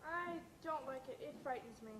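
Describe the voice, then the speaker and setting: An anxious, appalled wife acted by a woman, high in pitch and earnestly melodramatic. She is heard on stage in an echoey room with clear background noise. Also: moderate pace, close to an everyday voice. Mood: frightened. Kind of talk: live stage acting. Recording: echoey, noisy amateur stage recording.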